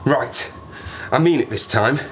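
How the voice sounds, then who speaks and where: A man's voice: medium pitch, unhurried, a little hiss or hum, lightly acted. An actor playing a lonely, half-mad plane-crash survivor in an audio drama, rambling and self-mocking as he talks to himself. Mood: wry, manic. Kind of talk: audio drama monologue, diary-like.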